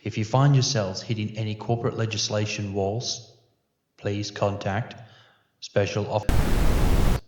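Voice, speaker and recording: A man's voice: fairly low pitch, steady tone, a little mellow, close to an everyday voice. A man playing a grave, methodical police investigator giving a formal, clinical briefing in a sci-fi audio drama. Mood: stern, instructing. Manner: measured, formal, clinical delivery. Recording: echoey room, dull muffled sound.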